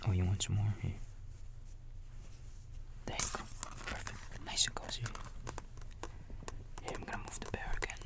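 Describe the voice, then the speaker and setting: A man in a soft breathy whisper, gently and soothingly reading a bedtime story like a big-brother babysitter, with casual asides. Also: sits low, fairly even intonation, moderate pace, long pauses.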